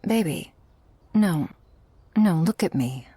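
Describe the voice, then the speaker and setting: A woman voicing an affectionate, protective, dominant shark girl in an intimate ASMR roleplay, with a breathy voice that often drops to a whisper. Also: lowish voice, expressive, mellow, heavily acted. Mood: firm, worried. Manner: slow, teasing, tender and commanding.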